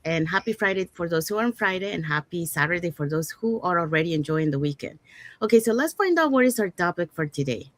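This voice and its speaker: A woman from Panama hosting an online talk show, welcoming guests and introducing the day's topic in an upbeat, measured way. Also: lowish voice, expressive, dry recording. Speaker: show host.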